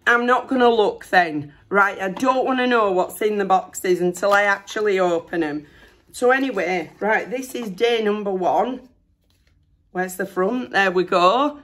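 A middle-aged woman talking casually into a camera at home. Her voice is hoarse and a bit rough from a recent illness. She rambles chattily and sounds excited and self-deprecating. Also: medium pitch, a little slow. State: hoarse, recovering from lost voice. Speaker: vlogger. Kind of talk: casual chat. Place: bedroom at home, indoors.

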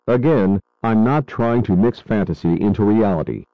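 A man's voice: on the low side, animated, moderate pace, dry recording, phone-quality sound. A man playing a grave radio news anchor, giving scripted breaking coverage of a disaster in a serious, explanatory manner. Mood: grave concern.

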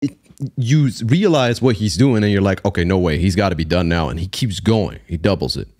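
A man in his thirties with a low voice reacts excitedly to music on a live stream, his pitch leaping up and down as he gets hyped. He talks in loose slang right into a close studio mic. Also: fairly quick. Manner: excitable, slangy, breaks into laughs and hums. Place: small room with blue lights, gaming chair. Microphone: studio mic on arm, headphones.

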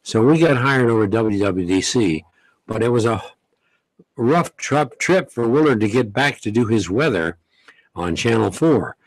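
An older man, a veteran radio host, reminiscing in an unhurried, folksy way in a radio studio at a studio mic. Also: mid-range voice, lively intonation, dry recording, rough recording. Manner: unhurried, folksy, many pauses and "uh"s. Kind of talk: interview, reminiscing. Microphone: studio mic, headset.

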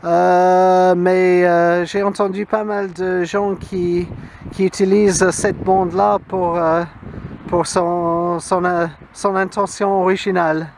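A man's voice: high voice, flat delivery, takes it slowly, a little mellow. A man narrating a casual vlog in short, hesitant phrases into a handheld camera outdoors. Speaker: amateur radio hobbyist. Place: outdoors on a grassy hill. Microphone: handheld camera microphone. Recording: outdoor camera audio with clear ambient noise.

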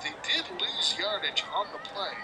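Male announcer, higher voice, very animated delivery, noisy stadium backdrop. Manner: lively, fast play-by-play with rising excitement on big plays. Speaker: play-by-play announcer. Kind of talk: high school football commentary. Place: outdoor high school football stadium at night.

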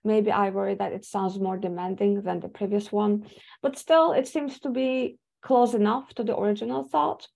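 A woman's voice: medium pitch, fairly even intonation, moderate pace, gravelly, a little mellow. A young woman, an English speaker, giving a webinar talk from slides over a video call, thoughtful with many fillers and restarts. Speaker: academic speaker. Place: home room indoors. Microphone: video call webcam.

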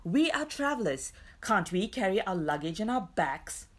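A woman's voice: medium pitch, very animated, normal speed, a bit bright. A woman voicing an earnest, plaintive young girl in a children's storybook. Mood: pleading.

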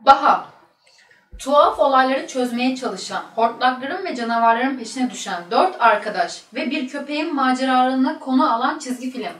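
A woman's voice: high voice, animated, moderate pace, a bit bright. A woman reading quiz questions aloud as the question reader. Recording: echoey room, distant mic.

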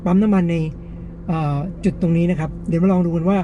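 A man's voice: high-pitched, steady tone, fast, muffled recording, noisy background. A man hosting a casual gadget test, explaining things step by step to viewers in a polite way. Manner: explanatory, frequent polite particles. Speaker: gadget review host.

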